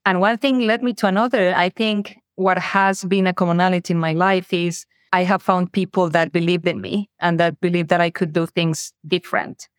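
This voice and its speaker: A woman's voice: lowish voice, fairly even intonation, normal speed, hardly any pauses, no echo, studio quality. Mexican-accented woman telling her story. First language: Spanish. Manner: earnest, reflective storytelling. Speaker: guest, revenue operations director. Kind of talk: interview conversation.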